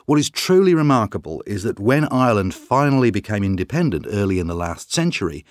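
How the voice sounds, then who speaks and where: An actor playing a calm, articulate writer being interviewed in a scripted exam recording. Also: male voice, medium pitch, no echo, clean studio sound, natural voice. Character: man, calm thoughtful writer and broadcaster. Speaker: interview guest.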